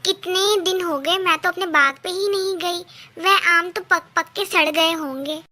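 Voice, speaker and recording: A woman plays a little female cartoon bird with an extremely high, bright voice. She is earnest and plaintive, and speaks slowly. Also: exaggerated voice. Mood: relieved. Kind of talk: children's cartoon dialogue.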